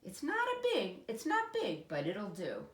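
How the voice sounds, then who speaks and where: An eager little storybook boy, acted out by a woman, full of bossy make-believe. The delivery swings up and down with dramatic excitement, in an echoey room. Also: mid-range voice, measured pace, voice pushed up. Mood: excited. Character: eager, imaginative little boy playing pretend.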